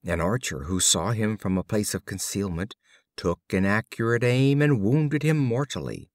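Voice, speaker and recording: A man reading fables as scripted audiobook storytelling, with measured, deliberate phrasing. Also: lowish voice, animated, no room sound. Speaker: audiobook reader.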